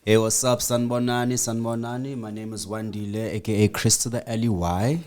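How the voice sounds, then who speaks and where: A man's voice: on the low side, steady tone, brisk, darkish tone. A young man from South Africa, a hip-hop artist guest on a podcast, telling his story in a laid-back way with fillers. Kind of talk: casual podcast chat.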